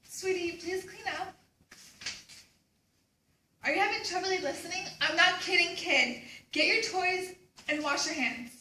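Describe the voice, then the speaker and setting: Woman acting an exasperated mom, very sharp high voice, stage reading, echoey distant pickup. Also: a little slow, pauses often, full character voice. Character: exasperated young mother of a small child. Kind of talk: readers theater stage performance. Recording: echoey room, distant mic, faint noise.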